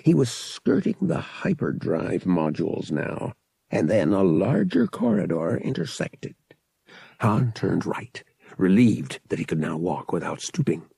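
A man's voice: low-pitched, wildly swooping pitch, a little slow, vocal fry, dark tone, breathy edge, no room sound. A man reading an adventure novel as an audiobook narrator, in dramatic scripted narration.

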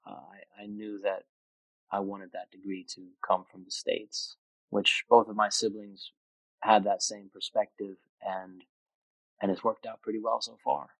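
A man's voice: on the low side, little pitch movement, measured pace, gravelly, fairly bright tone, dry recording, low-quality recording. A man in his twenties, raised in Austria, speaking as a podcast guest in a thoughtful, reflective way. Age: 20s. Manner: reflective, thoughtful with frequent restarts. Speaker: interview guest. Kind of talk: casual conversation.

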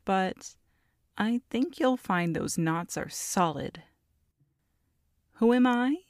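Woman playing a slow, taunting goblin matriarch. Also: fairly low pitch, a little mellow, dry recording, clean studio sound. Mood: mocking, impatient. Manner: teasing, smug, drawn-out villainous taunting. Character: small, taunting, flirty goblin matriarch, mother of a clan. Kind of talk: ASMR audio roleplay.

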